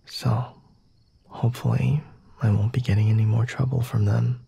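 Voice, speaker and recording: Casting: male actor as coy, aristocratic vampire master for ASMR roleplay. Husky half-whisper, gravelly fry, unhurried and formal. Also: medium pitch, little pitch movement, warm tone, heavily acted. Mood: smooth, teasing. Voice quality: breathy, husky, often whispering. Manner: formal, teasing, measured and deliberate. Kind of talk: ASMR roleplay, addressing the listener.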